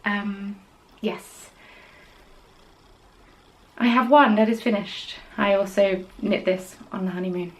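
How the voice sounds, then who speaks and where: A young woman hosting a podcast, chatting casually about her knitting in a rambling way and dropping into quiet, whispered asides. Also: mid-range voice, fairly even intonation, takes it slowly, pauses often, rough recording. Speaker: podcast host.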